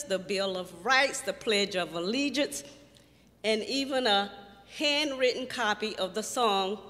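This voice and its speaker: A woman's voice: medium pitch, fairly bright tone. Earnest woman at a church mic. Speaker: church announcer. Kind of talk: read church announcement. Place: church hall. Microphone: stand mic. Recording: reverberant church hall.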